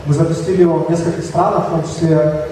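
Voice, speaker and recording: A man with a Kyrgyz accent, slightly hoarse from a cold, giving a halting conference talk with slides. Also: on the high side, little pitch movement, mellow, a little hiss or hum. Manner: halting, many fillers and restarts. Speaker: conference speaker. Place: large echoey hall. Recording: echoey hall from far off.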